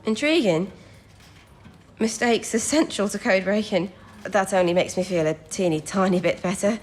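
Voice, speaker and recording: A woman's voice: mid-range voice, moderate pace, slight background noise, natural voice. A young woman playing an eager, modest teenage recruit to wartime codebreaking in a period radio drama, chatty and polite. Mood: curious. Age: young adult.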